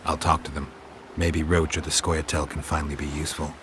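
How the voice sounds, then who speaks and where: An actor playing a gruff, stoic monster hunter in a video game, speaking in terse, restrained lines. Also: male voice, extremely low pitch, level tone, fairly quick, fairly bright tone, a little hiss or hum. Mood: grim, frustrated. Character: gruff stoic monster-hunting male witcher.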